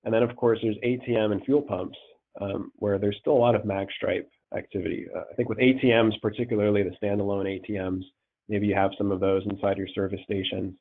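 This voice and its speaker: A man's voice: lowish voice, steady tone. Congested man presenting over a phone line. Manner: halting, repeats words, many ums. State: congested with a cold. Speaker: payments risk manager presenting a webinar. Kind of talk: slide presentation over a call. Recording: tinny phone-line audio.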